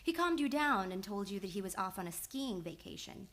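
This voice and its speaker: A woman's voice: mid-range voice, very animated, fairly bright tone. Woman playing a composed, shrewd female analyst. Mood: accusing. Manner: measured, assured, matter-of-fact. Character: composed, shrewd young female psychoanalyst confronting a killer. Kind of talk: old-time radio drama acting.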